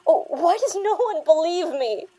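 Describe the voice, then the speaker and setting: High-voiced distraught, defiant woman, played by an actress. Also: animated, unhurried, mellow. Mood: agitated. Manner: emotional, swearing, bitingly sarcastic. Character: distraught, defiant woman haunted by a traumatic night. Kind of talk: audio drama dialogue acting.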